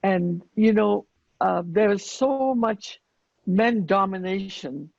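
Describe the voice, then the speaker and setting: A woman from Afghanistan, answering earnestly on a panel with many pauses and fillers, over a video call. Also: medium pitch, vocal fry, darkish tone, no room sound. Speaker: panelist running girls' schools. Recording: dull lo-fi video call audio.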